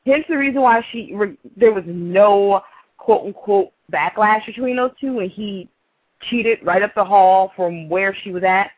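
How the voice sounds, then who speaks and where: A woman's voice: mid-range voice, a little slow, no echo. A woman co-hosting a radio talk show with casual chat and banter. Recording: thin, tinny phone-line radio sound.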